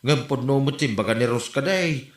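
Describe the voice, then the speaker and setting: A man's voice: medium pitch, brisk, close to an everyday voice. Man acting a grumbling village gossip, echoey room. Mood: gossipy, grumbling. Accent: northern Philippine. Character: blunt, gossipy villager grumbling about a neighbour. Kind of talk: comedy audio drama acting. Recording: roomy, echoey voice recording.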